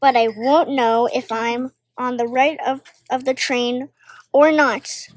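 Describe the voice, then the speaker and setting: A woman's voice: sits high, steady tone, slow, no room sound. A young girl reading story dialogue aloud, hesitant and stumbling over longer words. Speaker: volunteer story reader.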